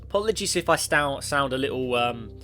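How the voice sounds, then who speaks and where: A man's voice: mid-range voice, lively intonation, brisk, sharp tone. Sniffly man commenting on a space sim. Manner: rambling, self-correcting, talks to the game. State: has a cold, sniffly. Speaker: gaming YouTuber. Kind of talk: casual gameplay commentary. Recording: clear background music. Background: background music.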